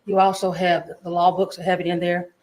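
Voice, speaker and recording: Brief: female witness, fast, polite yes-sir answers, matter-of-fact. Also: on the low side, level tone, a little mellow, rough recording. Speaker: court clerk testifying as witness. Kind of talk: courtroom testimony. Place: courtroom.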